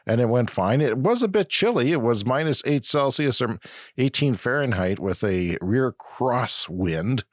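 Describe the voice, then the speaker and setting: A middle-aged man with a flu-raspy voice, co-hosting a casual podcast chat and rambling through explanations. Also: mid-range voice, no room sound, studio quality. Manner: rambling, self-correcting explanations. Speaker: podcast co-host.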